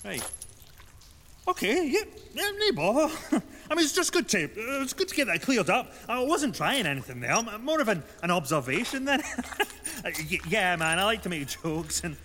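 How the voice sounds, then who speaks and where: Goofy, high-pitched young Scottish man in cavernous reverb. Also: very animated, moderate pace, pushed and bright, exaggerated voice. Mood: embarrassed, joking. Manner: bumbling, jokey, nervous rambling. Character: goofy lovestruck young man, nervous joker. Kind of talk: radio horror drama acting. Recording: long reverb, faint noise.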